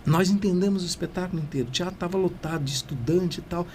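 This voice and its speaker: A man's voice: high voice, quick pace, darkish tone, slight background noise. A Brazilian man, a culture manager, telling an enthusiastic, rambling story as a guest in an interview. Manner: enthusiastic, rambling, often tags "né?". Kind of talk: storytelling conversation.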